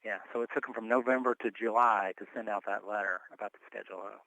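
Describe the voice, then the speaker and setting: A man's voice: fairly low pitch, steady tone, quick pace, gravelly, pushed and bright, dry recording, low-quality recording. A man giving an informal, step-by-step report in a conversational way, speaking on a telephone conference call. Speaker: board member reporting on tax filing. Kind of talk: informal spoken report at a meeting.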